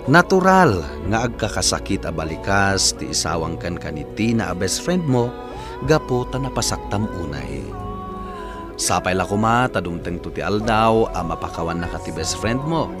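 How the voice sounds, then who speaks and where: A man's voice: deep voice, very animated, moderate pace. A man with an Ilocano accent, hosting and narrating a radio drama with scripted advice. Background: music.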